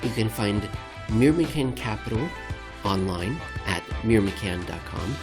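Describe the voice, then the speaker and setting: A man narrating a scripted commentary with deliberate, somewhat theatrical phrasing. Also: fairly low pitch, animated, over music. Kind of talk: scripted reading of economic commentary.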